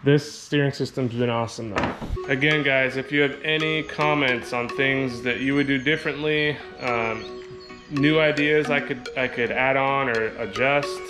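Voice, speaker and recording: A man's voice: mid-range voice, normal speed, over music. A man, casually walking through a DIY kayak build and explaining each step as he goes. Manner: relaxed, explanatory, repeats himself. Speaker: fishing channel host. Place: garage. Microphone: action camera on head or chest. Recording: camera mic with clear background noise.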